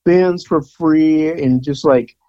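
A man's voice: fairly high pitch, takes it slowly, highs cut off. A man in his sixties, a rock photographer from the Bay Area, chatting casually as an interview guest and rambling with fillers and restarts. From San Francisco Bay Area. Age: 60s.

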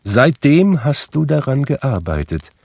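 A man's voice: medium pitch, sing-song, measured pace, gravelly, a little mellow, voice pushed up, full character voice. A man voicing the mournful, tormented ghost of a dead businessman in an anguished, solemn, declamatory manner for a story. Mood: tormented, grave.

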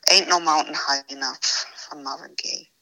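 A woman in her thirties with a lowish but very bright, sharp-edged voice. She chats casually as a podcast guest and laughs her way through lively anecdotes. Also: moderate pace, low-quality recording. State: busy mother with young children. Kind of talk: casual chat.

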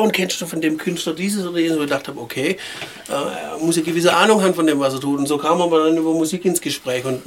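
A man, a musician chatting casually and telling anecdotes in an interview. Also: on the high side. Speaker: musician and lyricist being interviewed.

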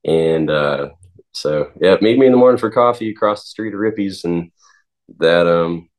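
A man of twenty, a singer-songwriter, answering interview questions in a halting way with many pauses and restarts. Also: deep voice. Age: 20s.

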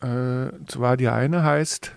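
An older man co-hosting a podcast in casual chat, a record collector who answers calmly and matter-of-factly. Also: mid-range voice, takes it slowly, gravelly, clear, resonant tone, no echo.

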